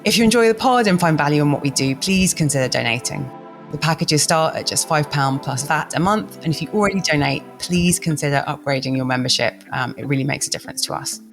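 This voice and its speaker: A woman co-host reads a scripted appeal to listeners in a friendly, measured tone. Soft music plays faintly beneath her voice. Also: low voice, animated. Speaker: podcast co-host.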